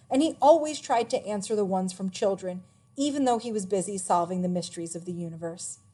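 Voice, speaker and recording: Woman reading aloud with lively, swooping pitch. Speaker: read-aloud narrator. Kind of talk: reading a children's biography aloud.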